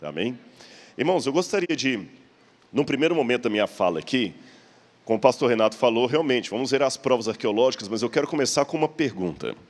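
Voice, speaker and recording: A man's voice: mid-range voice, animated, fast talker. A man with a Brazilian accent preaching in a conversational, explanatory way, posing rhetorical questions with a slight stammer. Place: large church hall. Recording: long hall reverb.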